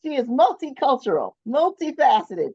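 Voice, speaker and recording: A woman's voice: high-pitched, very animated, normal speed, gravelly, darkish tone, slightly rough, dry recording. A woman moderating a political meeting, introducing speakers in an upbeat, emphatic way. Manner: upbeat, emphatic, keeps things moving. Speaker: event moderator. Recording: dull, dry sound.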